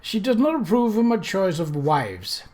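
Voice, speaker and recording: A man voicing a petulant, self-pitying young gentleman in a storybook reading, with wry, sighing, theatrical upper-class phrasing. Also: sits high, sing-song, a little slow, pitched above the performer's own voice.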